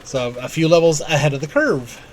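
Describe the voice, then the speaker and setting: A man's voice: fairly high pitch, big pitch swings, a little fast, soft background music. A man giving casual let's-play commentary as a game streamer.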